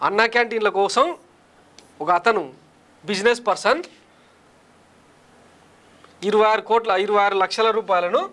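A man's voice: high voice, steady tone, moderate pace, long pauses, fairly bright tone. A man in his thirties presenting political news commentary in a studio on a lapel mic, sarcastic and combative, firing off rhetorical questions. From Andhra Pradesh, India. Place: studio in front of a large screen.

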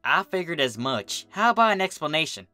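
Reader doing a folksy southern farm girl pony, drawly n easygoing, bright, music behind. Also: medium pitch, sing-song, brisk, dry recording. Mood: suspicious.

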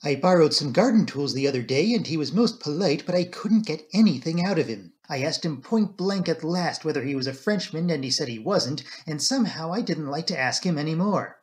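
A man's voice: on the high side, animated, fairly quick, hardly any pauses, close to an everyday voice. A man voicing a nosy, gossipy woman who sounds aggrieved.

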